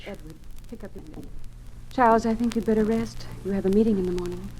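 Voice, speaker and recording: An actress playing a practical, gently firm woman in an old radio drama, composed and matter-of-fact. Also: medium pitch, fairly even intonation, fast talker, close to an everyday voice. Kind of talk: mid-century radio drama acting. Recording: old radio recording with background noise.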